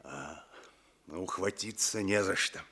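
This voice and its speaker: Low-voiced, world-weary police sergeant. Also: male voice, unhurried, bright, forceful tone, husky, heavily acted. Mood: weary, resigned. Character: world-weary, seasoned police sergeant, deferential to his captain. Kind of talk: 1960s Soviet radio play acting.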